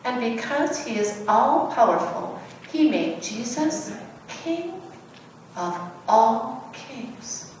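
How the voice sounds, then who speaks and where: A woman voicing a gentle, patient mother bear who explains things reassuringly in a children's storybook reading. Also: fairly high pitch, sing-song, slow pace. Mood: reverent. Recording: echoey room, distant mic, background noise.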